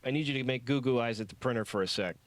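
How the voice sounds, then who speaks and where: A man's voice: mid-range voice, rapid-fire, no echo, natural voice. Man voicing a wry, curt woman. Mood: practical. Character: dry, sardonic, no-nonsense woman.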